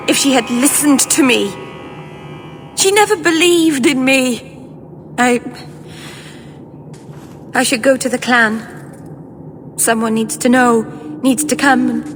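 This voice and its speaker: Young female elf mage, high voice, slow halting delivery, anguished, earnest, fantasy game, noisy ambience. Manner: pleading, emotional, halting. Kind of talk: dramatic video game voice acting. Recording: clear background ambient noise.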